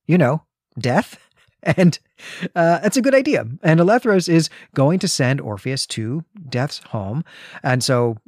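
A man's voice: fairly high pitch, animated, a little slow, a little mellow, no echo. Guy cohosting a book podcast, explains stuff in a chatty analytical way, really dry room sound. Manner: analytical, explanatory, often checks with "right?". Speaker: podcast co-host. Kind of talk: conversational literary discussion of a comic.

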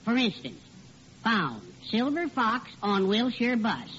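A man's voice: high-pitched, big pitch swings, slow pace, fairly bright tone, heavily acted. An actor playing a meek, henpecked little man, prim and plaintive, in a vintage radio sitcom. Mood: prim, worried. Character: meek, mousy, henpecked little male neighbor. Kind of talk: 1940s radio sitcom acting. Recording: muffled, noisy old radio recording.

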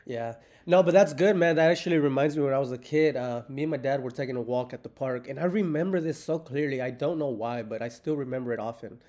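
A man in his thirties from the United States, hosting a podcast in relaxed, rambling casual chat and swearing casually. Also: mid-range voice, fast talker, few pauses. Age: 30s. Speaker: podcast host. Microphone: remote call.

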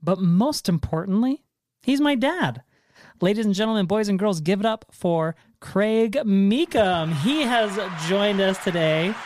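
A man's voice: high voice, animated, a little slow, darkish tone, dry recording. A man hosting a casual podcast with his father, talking in a playful, jokey way. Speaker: podcast host. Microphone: handheld recorder.

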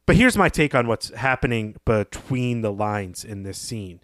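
A man's voice: lowish voice, animated, unhurried, a bit bright. A man co-hosting a podcast, analysing fiction conversationally and wordily, thinking aloud. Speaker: podcast co-host.

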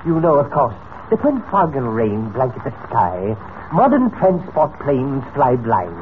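An actor playing a smug, menacing criminal mastermind in 1940s radio style, oily, theatrical and condescending, on a tinny, noisy old recording. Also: male voice, mid-range voice, very animated, measured pace. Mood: menacing boasting. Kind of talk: 1940s radio serial acting.

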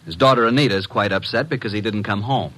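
An actor playing a breezy, wisecracking private detective's sidekick with an American accent, blunt and folksy, in a radio drama. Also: male voice, fairly low pitch, quick pace, bright, forceful tone. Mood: matter-of-fact. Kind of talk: old-time radio drama acting.

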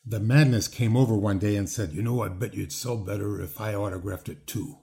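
A man's voice: lowish voice, brisk, mellow. A man in his sixties chatting casually as a podcast host, rambling with many fillers and restarts. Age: 60s. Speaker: podcast host, former wrestler. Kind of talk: casual interview chat.

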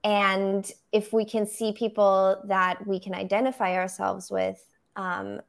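Young woman, thoughtful and measured. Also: mid-range voice, level tone, creaky. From Germany. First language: German. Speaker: photographer, podcast guest. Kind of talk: interview answers.

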